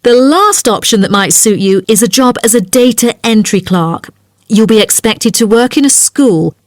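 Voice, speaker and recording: A woman playing a helpful, businesslike job agency adviser in a scripted listening-exercise dialogue, explaining things clearly. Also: a little high, animated, moderate pace, no echo, studio quality, close to an everyday voice.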